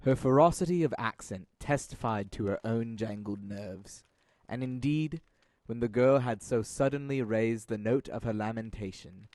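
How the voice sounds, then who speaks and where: A man's voice: on the low side, a little mellow, no room sound. A man reading scripted narration for an audio drama as announcer and storyteller.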